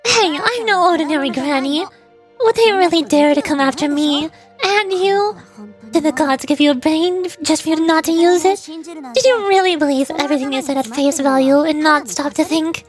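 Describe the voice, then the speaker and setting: A woman's voice: sits high, normal speed, faint music behind, natural voice. A woman voicing a stern, sarcastic granny character in game dialogue, exasperated and scolding. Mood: indignant. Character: scolding, sarcastic granny berating her young charge.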